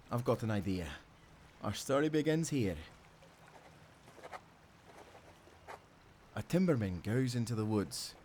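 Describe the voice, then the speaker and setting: A man's voice: mid-range voice, lightly acted. Man playing a tender Scottish sailor husband, ambient noise behind. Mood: hushed storytelling. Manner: tender, teasing, given to soft chuckles. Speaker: audio roleplay actor. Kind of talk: intimate romantic audio roleplay, old-fashioned seafaring speech.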